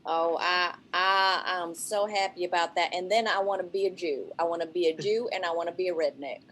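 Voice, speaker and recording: A woman's voice: on the low side, fairly even intonation, fairly quick, fairly bright tone, slight background noise, poor audio. A woman riffing playfully in an improvised comedy chat, often laughing at her own absurd lines. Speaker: guest.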